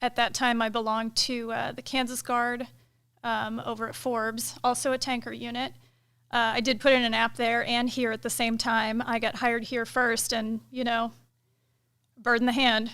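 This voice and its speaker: Gravelly-voiced woman in a casual interview. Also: a little high, normal speed. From United States. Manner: relaxed, thoughtful, many fillers. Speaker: KC-135 pilot and director of inspections, guest.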